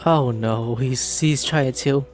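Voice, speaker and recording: A woman hosting a gaming video, reading dialogue aloud and commenting in an expressive, reactive way. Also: a bass voice, takes it slowly. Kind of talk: animated let's play, dubbing dialogue aloud and commenting. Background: game music.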